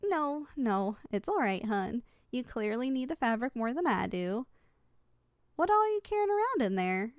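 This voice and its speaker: A woman's voice: on the high side, very animated, moderate pace, no room sound. A woman playing a kind, soothing giantess in an ASMR roleplay. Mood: curious, reassuring. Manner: soothing, folksy, gently reassuring. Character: kind, gentle young giantess homeowner comforting a tiny person.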